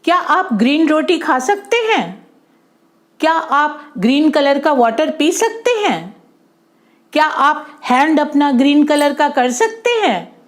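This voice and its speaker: A woman's voice: high-pitched, sing-song, slow pace, voice pushed up, heavily acted. A woman voicing a clever, confident young boy who explains things simply and asks questions in a children's story. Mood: challenging questions.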